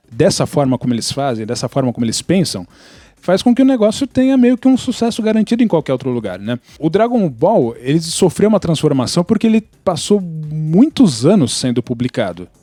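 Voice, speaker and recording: Higher-voiced Brazilian man, mellow and dry. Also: no echo. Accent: Brazilian Portuguese. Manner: opinionated, explanatory. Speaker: podcast participant. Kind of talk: casual podcast discussion.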